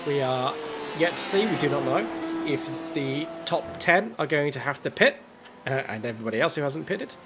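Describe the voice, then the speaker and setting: An Australian man with a slight cold calls a sim race in measured, explanatory play-by-play. Loud engine roar from the game sits right behind his voice. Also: fairly high pitch, animated, background noise. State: has a cold. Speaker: race commentator. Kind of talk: live sim racing commentary.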